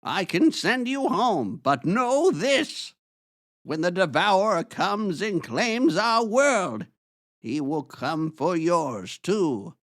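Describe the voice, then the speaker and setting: Creaky, high-pitched old wizard. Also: male voice, fairly bright tone, no room sound, clean studio sound, full character voice. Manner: theatrical, deliberate, ominous pronouncements. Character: old male wizard, grave, theatrical, kindly mentor. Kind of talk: fantasy audio drama acting.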